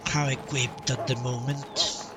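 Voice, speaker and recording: A male game streamer giving casual, halting live commentary with some roleplay, often repeating himself. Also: medium pitch, level tone, distant mic. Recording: loud game music behind voice. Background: game music.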